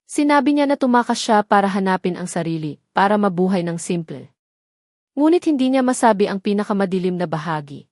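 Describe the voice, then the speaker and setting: A woman's voice: mid-range voice, darkish tone, no room sound. Woman narrating a dramatic romance story, dry sound.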